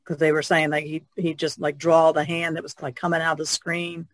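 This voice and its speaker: A woman's voice: low-pitched, little pitch movement, fairly quick, no echo. A woman speaking as a panelist in a casual podcast chat.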